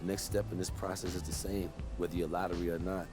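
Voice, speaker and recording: A man's voice: low-pitched, level tone, quick pace, music in the background, natural voice. A man acting a loyal, streetwise American sports agent in a game cutscene, persuasive and speaking in punchy short phrases. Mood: advising. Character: loyal streetwise male sports agent advising a young player.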